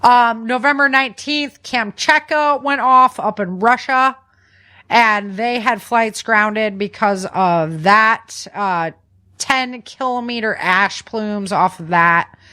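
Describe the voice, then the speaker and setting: A woman's voice: a little high, slow pace, pushed and bright. An American woman hosting a podcast, running through news in a chatty, informal way with slangy casual commentary. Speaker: podcast host.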